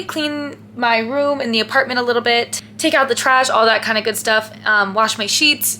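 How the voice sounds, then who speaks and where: A woman's voice: fairly high pitch, bright, forceful tone, a little hiss or hum. A woman in her twenties chatting casually to the camera as a lifestyle vlogger, upbeat and friendly, with lots of "like" and "um". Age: 20s. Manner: chatty, filler-heavy with frequent "like" and "um". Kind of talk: casual vlog chat to camera.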